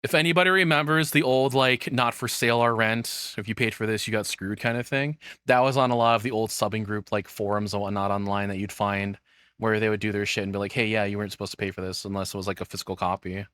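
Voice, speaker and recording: A man's voice: on the low side, fairly even intonation, fast talker, bright, forceful tone, clean tone, dry recording. A man doing casual, rambling reaction commentary as a streamer, informal, profane and opinionated, speaking into a close microphone.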